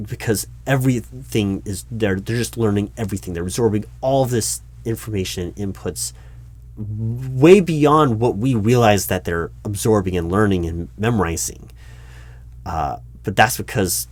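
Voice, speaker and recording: A man playing a polite, awkward corporate recruiting manager in a one-sided interview roleplay, speaking out loud with a hesitant, stammering, formal manner. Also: lowish voice, no echo. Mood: earnest, rambling. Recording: steady background noise, keyboard typing. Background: computer keyboard typing.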